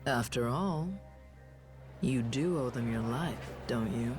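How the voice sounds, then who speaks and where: A woman's voice: very deep voice, slow, music in the background. An actress voicing a tough, self-assured young woman bodyguard in a video game cutscene, casual and slangy. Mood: persuasive, sly.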